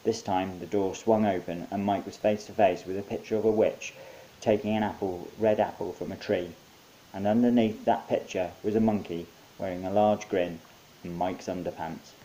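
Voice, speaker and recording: A man's voice: sits low, fairly even intonation, normal speed, mellow, a little hiss or hum, poor audio. A man narrating his own short story as an author reading from a script.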